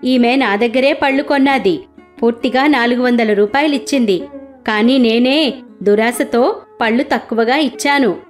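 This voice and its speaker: A woman's voice: a little high, moderate pace, soft background music, close to an everyday voice. A woman voicing a divine bull attendant disguised as a man, speaking firmly and earnestly. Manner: firm, earnest.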